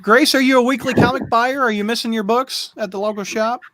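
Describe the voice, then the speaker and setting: A man hosting a casual video-call chat, talkative and quick to laugh. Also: very high-pitched, steady tone, a bit bright, no echo. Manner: chatty, laughs often, frequent restarts. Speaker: host.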